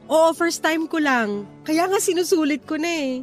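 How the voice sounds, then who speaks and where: A woman's voice: high-pitched, lively intonation, normal speed, faint music behind. A woman acting a proper, playful young single woman with a Filipino accent in a radio romance drama. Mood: cheerful.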